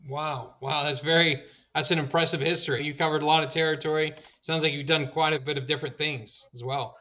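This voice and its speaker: A man hosting an insurance podcast, upbeat and encouraging, giving quick reactions and asking open questions. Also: on the high side, steady tone, pushed and bright, lo-fi sound. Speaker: podcast host. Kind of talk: interview hosting.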